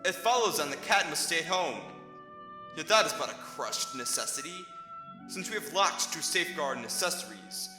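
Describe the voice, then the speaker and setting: A man playing a spirited noble duke advising his king in animated, declamatory Shakespearean verse for an audio drama. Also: fairly high pitch. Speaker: amateur actor in student audio drama. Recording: very echoey, clear music underneath.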